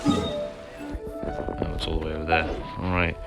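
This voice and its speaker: A man's voice: very deep voice, little pitch movement, takes it slowly, vocal fry. A man giving casual playthrough commentary as a gaming video host, informal and self-deprecating. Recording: voice-over with loud game music behind.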